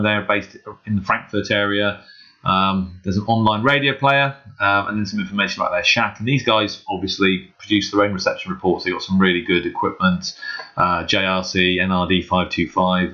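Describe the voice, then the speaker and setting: A man's voice: sits low, pushed and bright. A man talking casually and earnestly in an unscripted, hesitant way, as host of a hobbyist walkthrough video. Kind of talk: casual screen walkthrough vlog. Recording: dull, muffled lo-fi microphone.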